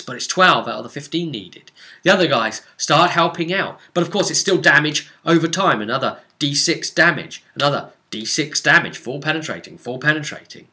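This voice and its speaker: Excitable guy game master recapping his rpg session, bright punchy voice, repeats stuff for emphasis. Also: on the high side. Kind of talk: animated session recap storytelling.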